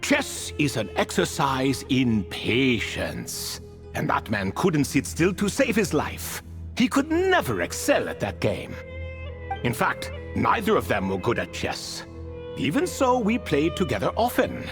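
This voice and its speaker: Theatrical ancient bird king, wildly swooping pitch. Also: huge pitch swings, a little slow, over music. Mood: reminiscing, haughty. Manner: theatrical, rambling, formal storytelling. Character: proud ancient ibis king, nostalgic, easily offended sealed retainer. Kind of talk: video game character acting.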